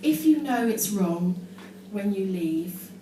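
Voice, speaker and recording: A woman gives a self-mocking, deadpan comic reading of teenage writing to a live audience. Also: medium pitch, takes it slowly. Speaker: amateur performer reading her teenage diary on stage. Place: indoor live show in London, audience laughing behind. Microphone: distant phone camera in the audience. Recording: echoey, noisy live venue recorded from far off.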